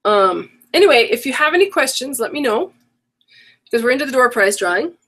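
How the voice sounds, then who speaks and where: A woman's voice: sits high, lively intonation, fairly quick, a bit bright. A middle-aged woman hosting an online craft workshop, rambling and correcting herself as she goes, her voice worn from a coming cold. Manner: chatty, rambling, self-correcting. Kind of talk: casual live-stream chat.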